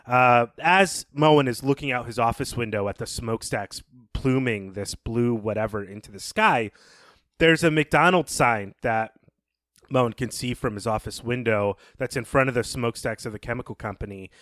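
A man with a medium-pitched voice speaks as a podcast co-host in analytical literary discussion. He sounds academic and rephrases himself as he goes, in a very dry recording. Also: moderate pace, a bit bright, no room sound.

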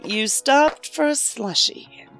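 A woman playing a brisk, skeptical federal investigator in an audio drama, terse, dry and questioning. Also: high voice, animated, fairly bright tone, soft background music, full character voice. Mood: impatient.

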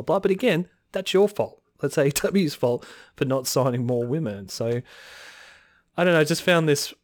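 Male podcast co-host, slightly hoarse, exasperated casual ranting with sighs, dry close mic. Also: mid-range voice, darkish tone, no room sound. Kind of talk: casual chat.

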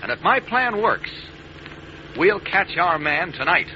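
A man's voice: high-pitched, very animated, measured pace. A man acting an earnest young reporter with a secret identity in a 1940s radio drama. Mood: grave, determined. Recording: muffled noisy vintage radio broadcast.

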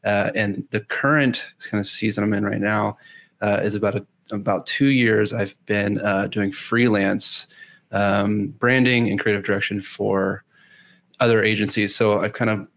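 A man's voice: on the low side, flat delivery, moderate pace, a bit bright. A man from Houston, Texas, a podcast guest talking easily with lots of fillers. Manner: easygoing, hesitant fillers. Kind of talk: casual video call chat.

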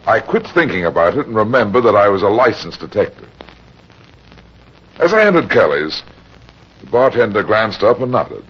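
Deep-voiced hard-boiled radio detective. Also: male voice, big pitch swings, slightly rough. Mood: brooding. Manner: terse, grave, deliberate. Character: weary, hard-boiled private detective narrating his case. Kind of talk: 1940s radio detective acting. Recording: dull old radio recording with music.

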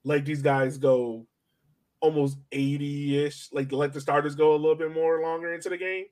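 A man's voice: on the high side, little pitch movement, normal speed, a little mellow. A man in a casual sports podcast discussion, thinking aloud and posing questions in a tentative way. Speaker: soccer podcast co-host.

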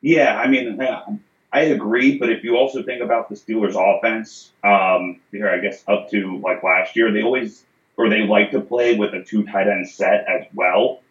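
Easygoing low man on muffled call. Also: fairly even intonation, normal speed. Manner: easygoing, filler-heavy. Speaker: co-host. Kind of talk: casual sports analysis. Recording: dull echoey call audio.

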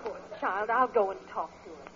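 A muffled old radio recording with loud-ish noise, an actress as a middle-aged wife. Her delivery swings up and down, patient and fond with a gently sarcastic edge. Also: medium pitch, lively intonation, normal speed, natural voice. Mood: sympathetic. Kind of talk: 1940s radio comedy acting.

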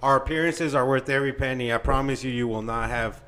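A man's voice: medium pitch, fast, fairly bright tone. A man co-hosting a podcast in casual, profane banter, telling jokey stories with lots of swearing. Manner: swears constantly, jokey storytelling. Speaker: podcast co-host.

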